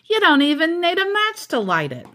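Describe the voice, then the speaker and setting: A woman's voice: very high voice, wildly swooping pitch, moderate pace, a bit bright, pitched above the performer's own voice, heavily acted. A woman voicing an eager, confident cartoon dad who talks in a proud, announcing manner.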